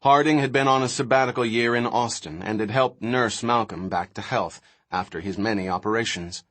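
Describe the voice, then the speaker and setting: A man reading a novel aloud as scripted narration, in a dramatic, expressive manner. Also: lowish voice, fairly quick. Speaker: audiobook narrator.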